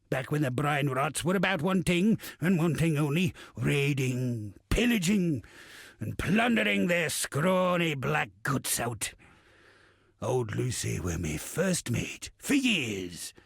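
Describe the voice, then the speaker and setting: An actor playing a rowdy, roguish old pirate captain in a video game, with a raspy, gravelly voice, a stage pirate accent and salty, theatrical slang. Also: male voice, on the high side, sing-song, takes it slowly, no echo, clean studio sound, full character voice. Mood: bitter, indignant. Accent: stage pirate English. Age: older. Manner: salty, theatrical, swaggering delivery with archaic slang. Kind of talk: video game character acting.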